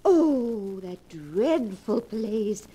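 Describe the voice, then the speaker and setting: An actress playing a frail, gentle, anxious elderly invalid aunt in a 1940s radio drama. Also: female voice, mid-range voice, big pitch swings, drawn-out words, husky, heavily acted. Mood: distressed. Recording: noisy vintage 1940s radio recording.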